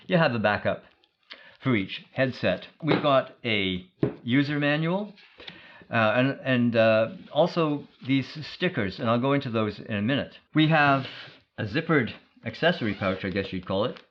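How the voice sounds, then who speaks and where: A man explains a product in a measured, matter-of-fact way, as a reviewer thinking aloud. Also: medium pitch, muffled recording, slight background noise.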